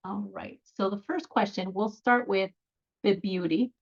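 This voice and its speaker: A woman moderating an online question session on a video call, brisk and organized as she explains the format. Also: mid-range voice, fairly even intonation, muffled recording. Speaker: event moderator.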